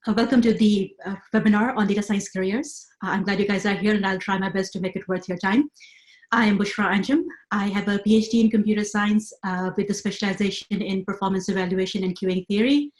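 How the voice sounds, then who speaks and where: A woman in her thirties, originally from Pakistan, giving a webinar talk over a computer mic. Her delivery is clear and measured, with a lecturing pace and frequent "um"s. The room around her is noticeably echoey. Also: mid-range voice, fairly even intonation. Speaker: webinar speaker.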